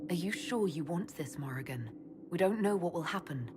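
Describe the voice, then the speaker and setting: A woman as a serious, lowish-voiced game heroine. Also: expressive, moderate pace, music in the background, natural voice. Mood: cautious. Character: concerned, questioning heroine and leader. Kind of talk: video game dialogue acting.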